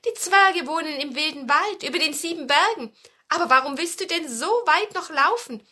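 Lady doing a friendly fairy tale witch, eager, bright and really animated. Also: high voice, normal speed, pitched above the performer's own voice. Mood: eager, helpful. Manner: animated, emphatic storybook delivery. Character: friendly forest witch, brisk, eager and helpful.

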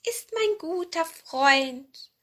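Ancient female storybook cat, squeaky high, mewing, wheedling, slow and sly. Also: expressive, pushed and bright, exaggerated voice. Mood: brisk, confident. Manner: meowing, drawn-out wheedling speech.

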